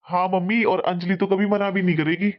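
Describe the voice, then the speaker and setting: A dull, lo-fi recording of a young adult son in a cartoon family drama voiced by a man. His voice is high for a man, and he sounds easygoing, practical and reassuring. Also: level tone, a little fast, lightly acted. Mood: helpful. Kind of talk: animated family drama dialogue.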